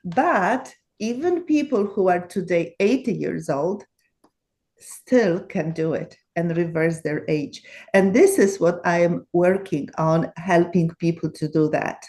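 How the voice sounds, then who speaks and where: Slow Polish-accented woman in her seventies. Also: on the low side, a little mellow. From Poland. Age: 70s. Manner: earnest, many fillers and restarts, laughs often. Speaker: guest author. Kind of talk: interview answers.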